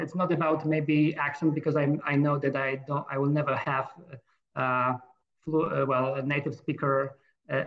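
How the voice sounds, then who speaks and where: Hesitant Polish-accented man, gravelly voice. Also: medium pitch, fairly bright tone. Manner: hesitant, many fillers and restarts. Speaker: guest. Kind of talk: casual interview. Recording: dull lo-fi sound.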